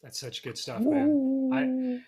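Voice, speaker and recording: A man's voice: extremely high pitch, big pitch swings, very slow, dark tone. A man speaking casually and enthusiastically as a film director guest in an informal interview.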